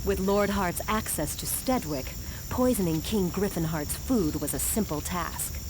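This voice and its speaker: A woman playing a grave, dutiful young queen in a video game cutscene, speaking in a solemn, measured, formal way. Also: fairly low pitch, animated, background noise. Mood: stern, resolute. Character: grave, dutiful young queen addressing her dead father. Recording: music behind the voice.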